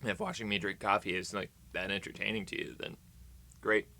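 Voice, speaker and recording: A man's voice: on the low side, steady tone, fairly quick, gravelly, sharp tone. An American man vlogging in casual, rambling chat to camera, playful and tongue-in-cheek with dramatic emphasis.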